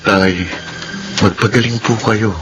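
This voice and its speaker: A man playing a supportive, respectful young adult son in a Filipino radio drama, earnest and gentle. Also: fairly low pitch, steady tone, slow pace, very noisy. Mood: earnest, caring.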